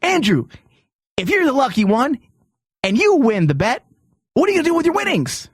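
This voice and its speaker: A man's voice: very high-pitched, wildly swooping pitch, moderate pace, breathy edge, dry recording, clean studio sound. A man hosting casual comedy banter in the manner of a mock game-show host.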